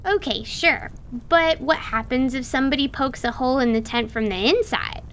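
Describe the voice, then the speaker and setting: A woman's voice: high-pitched, normal speed, fairly bright tone, a little hiss or hum. A woman playing a curious, shrewd young girl with a blunt, inquisitive manner in an audio drama. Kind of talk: audio drama acting of a tabletop campaign.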